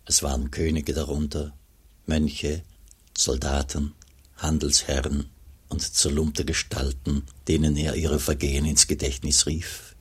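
A man playing a humble, devout monk who narrates his journey in a measured, earnest way in a radio play. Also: a bass voice, breathy edge, heavily acted. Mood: earnest, awed.

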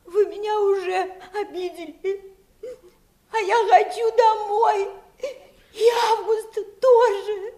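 An actress playing a tearful, sulky young princess with a plaintive, pouting manner in a fairy-tale play. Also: female voice, extremely high pitch, steady tone, slow pace, warm tone. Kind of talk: theatrical radio fairy-tale acting.

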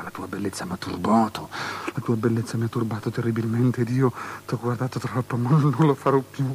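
A man's voice: medium pitch, close to an everyday voice. Guy playing desperate lecherous king, mid voice, rambling and repeating himself, murmurs then bursts, stagey radio drama, bit of hiss and hum. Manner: halting, repetitive, lurching between murmurs and outbursts. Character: lustful, desperate tetrarch of Judea, pleading and erratic. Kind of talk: avant-garde theatrical radio drama acting. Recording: noisy old drama recording with hum.

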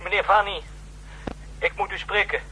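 An actor playing an anxious, deferential subordinate in a 1960s radio drama, hesitant and pleading. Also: male voice, high voice, steady tone, moderate pace, sharp tone, slightly rough, exaggerated voice, rough recording. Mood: distressed, urgent. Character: cornered, nervous male subordinate, anxious and deferential.

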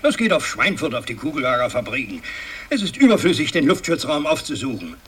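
Imperious old patriarch, wildly swooping delivery. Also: male voice, mid-range voice, big pitch swings, a bit bright, breathy edge. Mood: commanding, indignant. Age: older. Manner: declamatory, halting, swinging from commanding to muttering. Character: imperious old aristocratic patriarch, scheming, blustering, evasive. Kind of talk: 1960s German radio drama acting.

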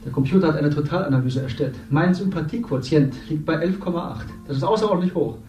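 A man's voice: a little high, quick pace, dark tone, close to an everyday voice. Guy doing a naive actor who thinks he's a minister now, earnest, bit far off in an echoey room, faint music, old radio play. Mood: proud. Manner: earnest, self-assured, explaining. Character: male actor turned TV minister, eager, naive. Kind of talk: 1970s radio drama acting.

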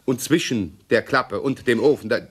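A man's voice: medium pitch, moderate pace, breathy edge, natural voice. An actor playing a pedantic, self-satisfied middle-aged policeman husband in a radio play, fussy and blustering, repeating himself. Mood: nagging, irritated. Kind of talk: 1960s German radio play acting.

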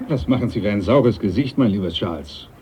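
An actor playing a devout, domineering sea captain in a German radio drama, speaking in an emphatic, preachy manner. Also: male voice, on the low side, lively intonation, brisk, a little mellow, slight background noise, lightly acted. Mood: jovial, probing. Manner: emphatic, preachy, scripture-quoting.

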